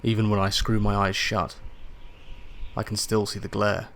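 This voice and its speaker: A man reading a first-person science fiction horror story in a measured, dramatic storytelling manner. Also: fairly low pitch, monotone, fairly bright tone. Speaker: audiobook narrator. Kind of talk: scripted first-person sci-fi horror narration. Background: atmospheric music and sound effects.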